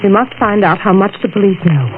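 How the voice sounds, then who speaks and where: A woman's voice: mid-range voice, fast, lightly acted. An actress playing a resolute, calculating woman who shields her guilty lover, with controlled urgency, in an old-time radio drama. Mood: soothing, resolute. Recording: tinny, faintly noisy vintage radio broadcast.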